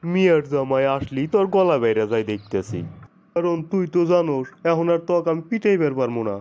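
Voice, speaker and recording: A man's voice: a little high, lively intonation, a little fast, a little mellow, clean tone, dull audio, close to an everyday voice. A man playing a hard-up middle-aged village father-in-law in a comedy cartoon, talking in a folksy, grumbling way with a Sirajganj Bangladeshi accent.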